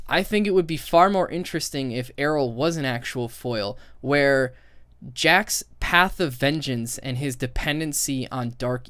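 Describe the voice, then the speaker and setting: A man's voice: mid-range voice, fairly bright tone, clear, resonant tone, no echo. A man giving a casual, opinionated game critique, thinking aloud in a sweary, exasperated way. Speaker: video commentator.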